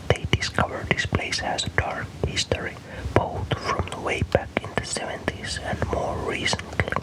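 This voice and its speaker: A man from Finland narrates a scripted ASMR story in a soft, breathy whisper, calm and deliberate. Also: rough recording.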